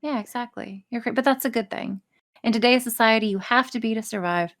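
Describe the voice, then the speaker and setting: A woman's voice: on the high side, a little fast, a bit bright. A woman talking as a podcast guest in a casual interview, blunt and joking, with plenty of swearing. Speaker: podcast guest, audio writer and performer.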